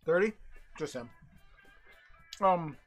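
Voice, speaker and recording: A man's voice: on the high side, expressive, slow pace, music in the background. A man narrating a tabletop game as game master, describing scenes and checking in with players.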